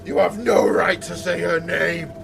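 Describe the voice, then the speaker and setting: An audio drama soldier acted by a man, exhausted and bitter at being betrayed. His voice is heavily creaky and a little high for a man, delivered slowly over clear background music. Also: steady tone. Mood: furious. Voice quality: gravelly, creaky. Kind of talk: amateur audio drama acting.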